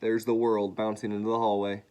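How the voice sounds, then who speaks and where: A man reading a children's story aloud in an expressive way, acting out sounds and dialogue. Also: lowish voice, fairly quick, clear, resonant tone.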